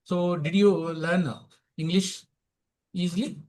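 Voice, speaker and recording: Indian man hosting a halting English chat. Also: sits high, fairly even intonation, a little mellow. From Telangana, India. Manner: halting, starts most lines with "so". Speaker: host. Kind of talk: casual English practice chat.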